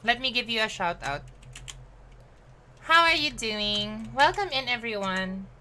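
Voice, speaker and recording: Brief: upbeat woman game streamer, casual chat with viewers, bright and animated delivery. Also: on the high side, unhurried, a little hiss or hum. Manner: chatty and upbeat, mixes English with Tagalog, laughs often, greets chat by name.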